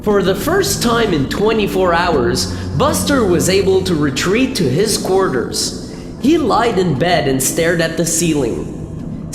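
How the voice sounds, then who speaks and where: A woman reading scripted sci-fi radio play narration in a deliberate, mock-serious storytelling manner. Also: lowish voice. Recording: echoey long reverb with music.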